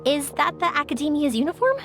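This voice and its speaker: A friendly young anime game heroine, bubbly and enthusiastic. Her voice is very high and animated, with a breezy delivery full of bounce, over faint background music. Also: fast. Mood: curious, thoughtful. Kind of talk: English anime game voice acting.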